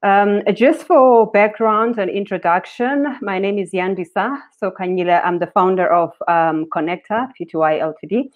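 A woman, a company founder, explaining her work on a panel over a video call, steady with frequent ums. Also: medium pitch, moderate pace, few pauses. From South Africa. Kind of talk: panel discussion talk. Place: indoors, home room with curtains. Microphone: webcam on video call. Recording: dull lo-fi video call audio.

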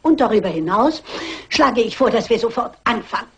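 An older actress playing a shrewd, stubborn elderly lady sleuth in a crime radio play, brisk, dignified and self-assured. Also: on the high side, expressive, slightly rough. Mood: determined.

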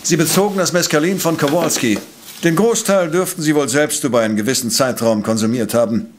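Man acting a high-pitched, conceited professor-detective. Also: a little fast, a little hiss or hum, close to an everyday voice. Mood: accusing. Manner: pompous, precise, lecturing. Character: brilliant, conceited male professor-detective, cool and exacting. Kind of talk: German audio drama acting.

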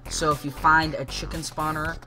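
A man's voice: a little high, level tone, normal speed, over music. A young man with a congested, stuffy voice from a cold, hosting a casual game tutorial, rambling and correcting himself with many ums.

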